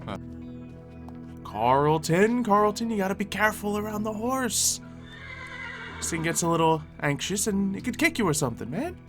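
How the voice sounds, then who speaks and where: A man's voice: sits high, very animated, moderate pace, long pauses. A man playing an easygoing, peacemaking zombie apocalypse survivor in a casual improvised video game roleplay. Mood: easygoing, cautious. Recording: background music under voice.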